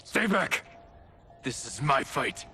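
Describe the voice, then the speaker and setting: An actor playing an honorable, resolute ninja warrior in a video game, speaking in an earnest, formal way. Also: male voice, mid-range voice, very animated, slow pace. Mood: angry defiance. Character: honorable, resolute male ninja warrior loyal to his clan. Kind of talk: cinematic video game acting. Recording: loud music behind the voice. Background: cinematic game music.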